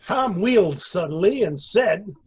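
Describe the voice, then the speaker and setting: A man reading literary narration as a storyteller, with a deliberate, theatrical delivery. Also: high voice, lively intonation, slightly rough. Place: indoors on a video call.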